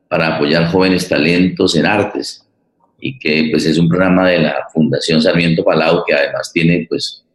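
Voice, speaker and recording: A man hosting a forum in informal, unscripted talk, recorded with a roomy echo. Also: low-pitched. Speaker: interviewer, forum host. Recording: roomy, echoing sound.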